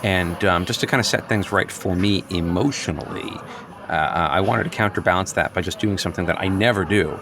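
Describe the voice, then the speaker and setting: A man's voice: sits low, fairly quick, vocal fry, noisy background. An actor playing a neurotic, agitated office worker in his late thirties, wordy and hesitant, justifying himself point by point in improvised audio comedy. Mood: insistent, aggrieved. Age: late 30s. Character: neurotic, agitated office worker newly rejecting social norms.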